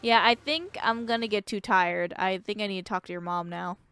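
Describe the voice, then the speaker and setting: A woman streamer reading a game aloud and reacting in an expressive, casual, sweary way. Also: mid-range voice, fairly quick, a little hiss or hum.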